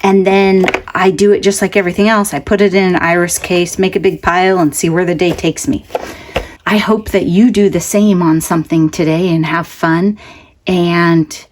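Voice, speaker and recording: A woman with a slightly low-pitched, congested voice talks casually as a craft channel host. She rambles in a conversational way, dropping in small self-joking asides as she goes. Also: fairly even intonation, normal speed, background noise. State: congested from allergies. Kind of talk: casual, chatty craft update.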